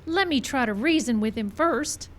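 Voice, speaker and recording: A woman's voice: high-pitched, lively intonation, normal speed. A woman playing an earnest, level-headed ranch heroine in a comic radio western. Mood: earnest, urgent.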